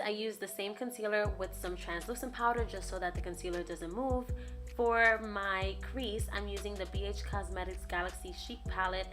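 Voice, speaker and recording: A young woman with a hoarse, raspy voice, chatting casually through a makeup tutorial. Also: on the low side. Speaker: beauty vlogger. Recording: voice-over with loud background music.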